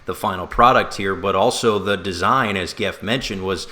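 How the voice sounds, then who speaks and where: Low, forceful man talking sports. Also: moderate pace. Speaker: sports talk show co-host and broadcaster. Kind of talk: casual sports talk.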